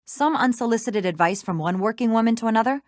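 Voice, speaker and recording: A woman's voice: on the high side, expressive, fast talker, no echo, clean studio sound, close to an everyday voice. Woman playing a wry, self-assured woman lawyer. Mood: earnest. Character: confident, teasing female small-town lawyer, ambitious working woman. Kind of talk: scripted video game dialogue.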